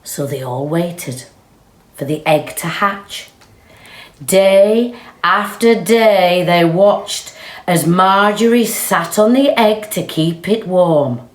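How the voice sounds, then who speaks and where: A woman reading a children's storybook aloud with expressive, deliberate delivery. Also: on the low side. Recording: roomy, echoey sound.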